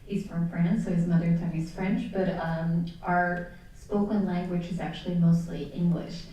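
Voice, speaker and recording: Mellow young woman in a very echoey room. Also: fairly low pitch, steady tone, normal speed. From Belgium. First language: Dutch. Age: young adult. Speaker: audience member asking a question. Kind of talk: conversational spoken question. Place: indoor public event. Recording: echoey room, distant handheld microphone.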